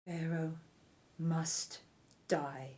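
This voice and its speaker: A woman playing a ruthless, scheming royal wife of ancient Egypt in an audio drama, speaking in an imperious, deliberate, menacing way. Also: sits low, fairly even intonation, darkish tone. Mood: cold menace.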